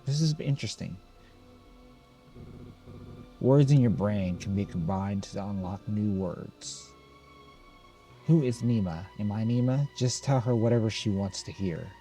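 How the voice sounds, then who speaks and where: Low, mellow man reading a game aloud. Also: animated, a little slow, pauses often, soft background music. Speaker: gameplay video host. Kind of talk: let's play commentary, reading game text aloud.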